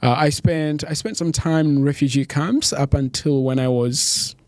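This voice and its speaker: A young man from Tanzania, a podcast guest talking casually, full of restarts and quick laughs. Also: a little high, darkish tone, dry recording, studio quality. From East Africa, born in Tanzania. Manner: halting, many restarts and "like", laughs easily. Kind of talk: casual interview chat.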